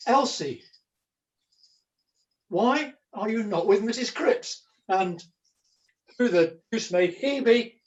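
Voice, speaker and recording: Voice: medium pitch, expressive, slow pace, pauses often, muffled recording. A performer playing a flustered, scheming jester in a pantomime, declaiming in florid mock-archaic language. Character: Jack Point, a wordy, scheming, flustered jester. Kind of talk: theatrical pantomime acting.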